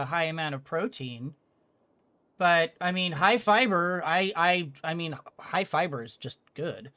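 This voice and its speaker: Game streamer, medium pitch, very unhurried delivery, casual commentary, hesitant, rambling, thinking out loud.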